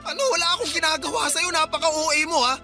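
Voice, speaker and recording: A woman's voice: sits high, steady tone, quick pace, music in the background. A woman with a Filipino accent playing an exasperated, defensive young father arguing in a radio drama. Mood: weary, annoyed. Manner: exasperated, argumentative, protesting. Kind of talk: heated radio drama dialogue.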